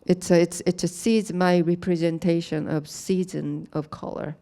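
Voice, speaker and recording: Woman artist explaining her work in a gallery, halting english, low-ish voice, lots of "you know". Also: steady tone, warm tone. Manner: halting, plain non-native English with many "you know" fillers. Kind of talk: gallery talk about her art. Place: gallery, speaking to an audience.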